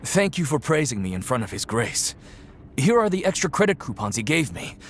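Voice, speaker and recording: A man's voice: mid-range voice, very animated, brisk, slight background noise. A man voicing a friendly, earnest young man in a video game, eager and easily embarrassed. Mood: sheepish, grateful.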